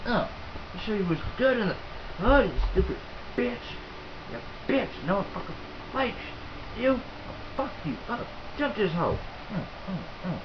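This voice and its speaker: A woman's voice: mid-range voice, very animated, measured pace, long pauses. A woman improvising a puppet skit with profane, theatrical, repetitive banter. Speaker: amateur puppet show performer. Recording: muffled, noisy home video.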